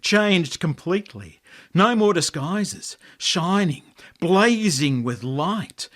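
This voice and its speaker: Aussie guy reading a story aloud, really slow, bit higher voice, dramatic pauses, expressive. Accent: Australian. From Australia. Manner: hushed to intense, deliberate pauses, expressive. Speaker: author reading own story. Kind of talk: dramatic storytelling, scripted narration.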